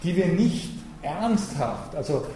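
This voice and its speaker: A German-speaking man lectures in a strongly echoing room, and his voice sits a little high but sounds mellow and dark. He talks in a thoughtful, hesitant way, often pausing on fillers. Faint noise hums behind him. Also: lively intonation. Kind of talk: academic talk and discussion.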